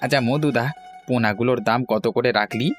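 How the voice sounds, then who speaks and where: A friendly male villager from a cartoon , acted by a man. His voice sits at a middle pitch, familiar and colloquial, with plucked-string music behind. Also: a little fast, natural voice. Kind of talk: cartoon dialogue.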